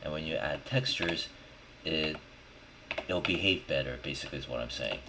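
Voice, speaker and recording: Male tutorial instructor, extremely low voice, unhurried and informal; noisy recording with keyboard clicks. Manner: informal, thinking aloud, checking in with "right?". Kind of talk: casual screen-recorded software tutorial, talking while working. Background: background noise, typing and clicking.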